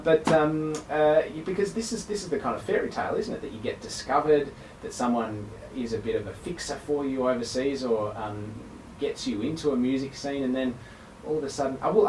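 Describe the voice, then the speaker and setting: Male radio host, chatty and encouraging, mid-pitched; roomy, distant, faint hiss. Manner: chatty, encouraging, laughs easily. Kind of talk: casual radio interview.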